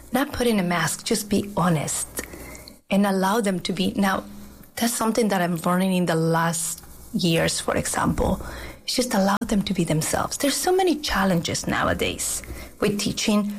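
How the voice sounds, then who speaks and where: A middle-aged woman from Peru who teaches, speaking at a medium pitch. She answers in an earnest, reflective way, often restarting her sentences as she thinks. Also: normal speed, poor audio. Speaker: teacher, guest. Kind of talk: interview answers.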